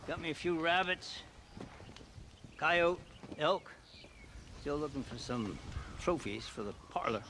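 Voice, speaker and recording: Older hunter. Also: male voice, a little high, animated, slow pace, long pauses, sharp tone, exaggerated voice. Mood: self-satisfied. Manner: folksy, laconic. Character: older, boastful Western hunter. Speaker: video game character. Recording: clearly noisy outdoor ambience.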